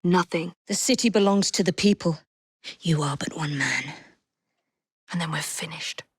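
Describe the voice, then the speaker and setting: An actress with an English accent playing a poised, determined young Victorian assassin in a video game, speaking in crisp, formal phrases with dry wit. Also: female voice, sits low, moderate pace, dry recording. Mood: stern, urgent. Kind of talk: dramatic video game voice acting.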